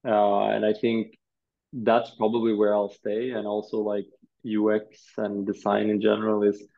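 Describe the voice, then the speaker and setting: A Swedish man in his thirties, a designer answering interview questions in a thoughtful, halting way, often repeating words. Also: fairly low pitch, monotone, vocal fry, dull audio. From Sweden.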